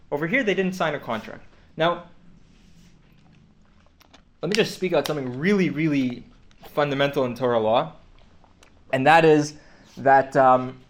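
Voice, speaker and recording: Guy teaching a class, mid pitch, keeps restarting his sentences. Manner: explanatory, with frequent restarts and fillers. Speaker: teacher of Torah law. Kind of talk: classroom lecture.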